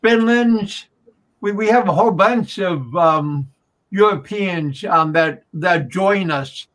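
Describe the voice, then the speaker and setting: A man's voice: high-pitched, slow, fairly bright tone. A man in his early sixties chatting casually as a livestream host, hesitant and often repeating words. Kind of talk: casual chat. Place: indoor room at home. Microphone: microphone on a boom arm.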